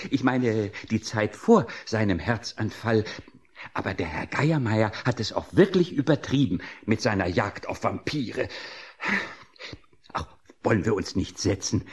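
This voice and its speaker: An actor playing a chatty, lonely, overly eager graveyard worker in a theatrical, giggly, gushing manner for a radio play. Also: male voice, lowish voice, lively intonation, measured pace, darkish tone, slightly rough. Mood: confiding, coaxing. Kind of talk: German radio play acting.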